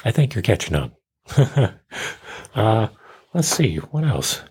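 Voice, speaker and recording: A man of fifty hosting a casual, rambling solo show in a self-deprecating, joking way, with a husky voice. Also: lowish voice, big pitch swings, slow pace. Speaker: podcast host.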